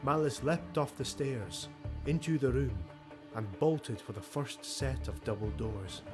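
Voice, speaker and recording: A man narrates a dark fantasy audiobook in a dramatic, scripted style. His voice is mid-pitched, and loud music plays behind it. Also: unhurried.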